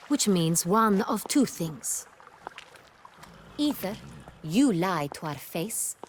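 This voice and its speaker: An actress playing an imperious, exacting ruler of noble birth in a video game, speaking in a commanding, haughty and formal manner. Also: female voice, mid-range voice, slight background noise.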